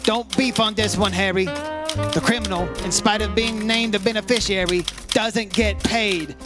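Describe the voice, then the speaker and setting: Radio detective, male actor: high-pitched, hardboiled and sardonic, firing off clipped wisecracks. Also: fairly even intonation, normal speed, over music, natural voice. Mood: wry narration. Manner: sardonic, taunting, clipped phrases. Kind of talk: old-time radio detective drama acting and narration.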